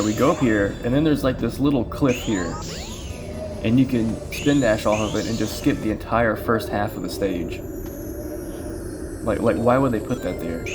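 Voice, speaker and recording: A man with a fairly low voice commentates casually on his video game run. He rambles and thinks aloud as he plays. Game music plays clearly behind him. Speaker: speedrun player and commentator.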